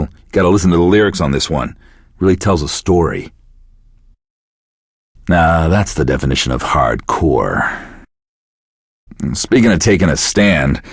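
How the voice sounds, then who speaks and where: Very deep-voiced, bitter radio DJ. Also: male voice, no echo, studio quality, full character voice. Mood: wry. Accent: American. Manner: deadpan, sardonic, profane. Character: bitter, self-pitying divorced middle-aged male radio DJ. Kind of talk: casual radio DJ patter.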